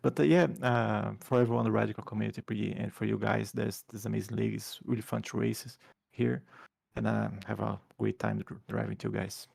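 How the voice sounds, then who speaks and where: Gravelly Brazilian-accented male racer. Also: fairly low pitch, flat delivery, a little mellow. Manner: halting, repeats words, second-language phrasing. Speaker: race winner being interviewed. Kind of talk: post-race interview.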